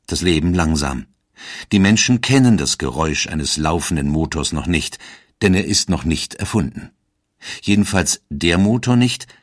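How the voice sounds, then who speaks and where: A man narrating a scripted historical documentary in a measured storytelling manner. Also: low-pitched, breathy edge, no room sound, studio quality.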